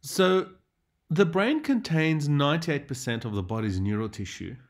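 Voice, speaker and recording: A man's voice: on the high side, lively intonation, normal speed. A man lecturing on radiology in a didactic way, stressing key terms as he narrates prepared slides.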